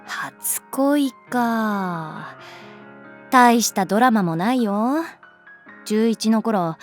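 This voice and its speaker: A woman playing a cool, caring teacher in a Japanese voice drama, talking in a laid-back, gently teasing way. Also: medium pitch, close to an everyday voice. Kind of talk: Japanese voice drama acting, conversational.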